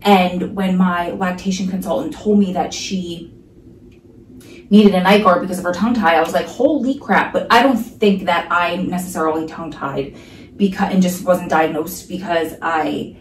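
A woman's voice: on the low side, moderate pace, echoey room, distant mic, slight background noise, rough recording. A woman in her thirties with a New Jersey accent, talking casually and emphatically to a camera in her living room. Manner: chatty, emphatic, many asides. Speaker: vlogger. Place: living room at home, sunlit window. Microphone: camera across the room.

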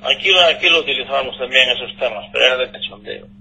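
A man's voice: mid-range voice, fast talker, sharp tone, poor audio. A man talking firmly and disapprovingly on a casual call over speakerphone. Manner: disapproving, firm. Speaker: pet owner receiving a call. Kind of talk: casual phone conversation. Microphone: phone call played over speakerphone. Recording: raspy telephone speaker sound.

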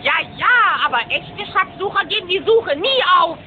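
A woman's voice: very high voice, expressive, normal speed. A woman voicing a spirited young boy duckling, an eager and loyal nephew in a cartoon audio drama. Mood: encouraging. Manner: eager, encouraging. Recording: muffled noisy old cassette.

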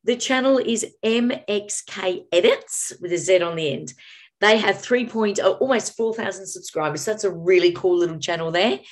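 A woman's voice: medium pitch, moderate pace. Australian woman hosting a reaction video. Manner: chatty, exclaims in surprise. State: losing her voice. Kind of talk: casual reaction commentary. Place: small indoor room.

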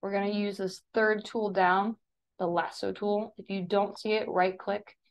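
American woman giving a software tutorial. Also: medium pitch, flat delivery, unhurried. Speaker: digital design teacher. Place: voice-over for a screen recording.

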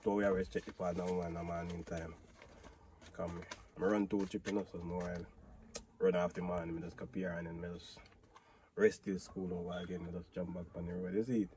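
A man in his thirties with a Jamaican accent, chatting casually in patois from inside a parked car. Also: fairly quick. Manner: relaxed patois talk, low-key. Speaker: taxi driver pranking his partner. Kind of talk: casual prank vlog chat. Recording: noisy car interior.

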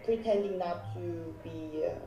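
A woman's voice: on the low side, measured pace. A young Korean woman with a Korean accent, tired late at night, teasing a stranger in casual video chat. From South Korea. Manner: teasing, giggly. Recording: roomy video call audio, music behind.

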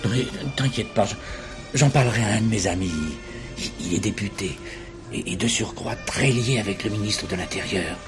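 An actor playing a smitten, respectable wealthy bachelor in a French radio play, earnest and hesitant with a slight stammer. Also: male voice, fairly low pitch, moderate pace, music in the background, lightly acted. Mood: devoted, concerned.

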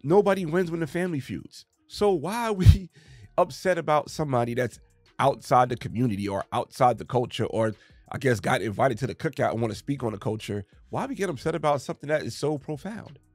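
A man's voice: mid-range voice, lively intonation, a little fast, a little mellow, dry recording, faint music behind. A man in his thirties, getting over a cold, reacting to a clip from his small home studio with emphatic rhetorical questions. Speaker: commentary channel host. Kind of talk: reaction commentary. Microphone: studio mic on boom arm, headphones.

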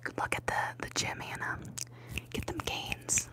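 A young woman whispering through an ASMR livestream, chatting casually and repeating words softly into the mic. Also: normal speed. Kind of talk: whispered ASMR live chat. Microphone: ASMR microphone.

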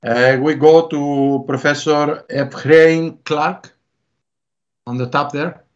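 Slow higher-voiced male moderator. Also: fairly even intonation. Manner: brief, hesitant introductions. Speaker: panel moderator. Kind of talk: moderating a panel. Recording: dull lo-fi video call audio.

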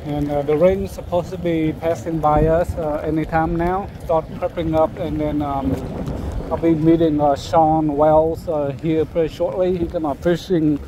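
A young man vlogging from a rainy riverbank on a camera, talking in an unhurried, hesitant way with many fillers. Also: fairly high pitch, fairly even intonation. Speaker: fishing vlogger. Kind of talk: casual vlog narration. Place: outdoors on a riverbank in rain. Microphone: handheld or body-worn camera. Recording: outdoor wind and water noise.

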